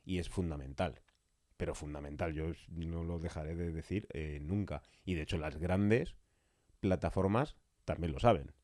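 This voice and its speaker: Man, Spain accent, very low voice, dark tone, emphatic, opinionated podcast chat. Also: normal speed, no room sound, studio quality. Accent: Spanish (Spain). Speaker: podcast interviewer. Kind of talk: casual opinionated podcast conversation.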